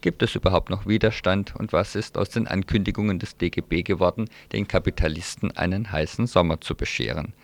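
Low, gravelly male radio announcer. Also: fairly quick, hardly any pauses. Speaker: radio presenter. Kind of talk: semi-improvised radio announcements. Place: radio studio.

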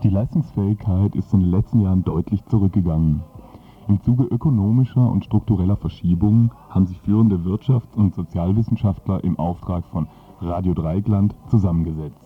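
Husky deep man, thin phone-like sound. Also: brisk. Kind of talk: scripted mock-report narration. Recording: thin phone-like radio recording.

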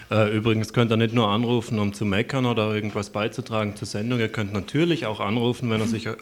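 Fast-talking, low-voiced man on community radio. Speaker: radio presenter reading event listings. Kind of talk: live community radio announcements, part improvised.

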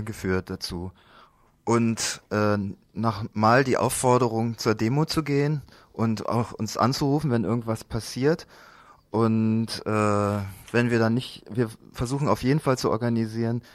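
A man hosting a radio news magazine, introducing it in a halting, loosely phrased, unscripted way. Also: lowish voice.

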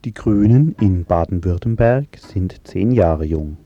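A man's voice: deep voice, expressive, unhurried, dark tone, dull audio, soft background music. A man reading a scripted radio report as a reporter. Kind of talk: scripted news report narration.

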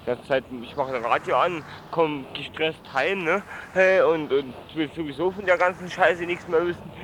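A man with a somewhat high voice gives his casual opinion in a street interview. He is emphatic and slangy, repeating words and adding tag questions. Traffic and street noise are clearly audible. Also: moderate pace, fairly bright tone. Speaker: passer-by being interviewed. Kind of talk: casual spontaneous street chat. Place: outdoors on a busy street.

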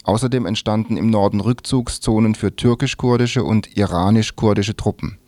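A man's voice: fairly low pitch, steady tone, moderate pace, mellow, dry recording. A man reading scripted news as a radio presenter.

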